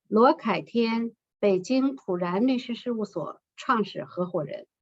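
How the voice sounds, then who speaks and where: A woman's voice: fairly high pitch, expressive. Woman formally announcing award winners. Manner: measured, ceremonial reading. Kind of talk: formal announcing of names and titles. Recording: slightly muffled lo-fi sound.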